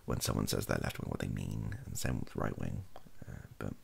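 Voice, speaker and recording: A young British man, softly spoken, rambling hesitantly through an opinion monologue in a gravelly, husky voice and often trailing off. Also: a bass voice, normal speed. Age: young adult. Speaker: ASMR YouTuber. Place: small home room, indoors.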